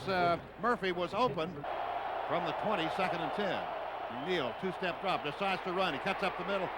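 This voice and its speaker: A man doing live radio play-by-play of American football in short, clipped running phrases. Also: high voice. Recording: very noisy stadium radio broadcast.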